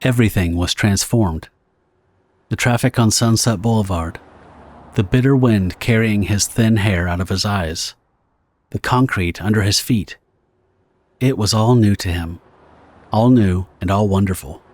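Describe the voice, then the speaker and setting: A man's voice: fairly low pitch, a little slow, long pauses, darkish tone, no echo. A man narrating a pulp horror audio drama from a script. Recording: guitar music behind the narration. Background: plucked guitar music.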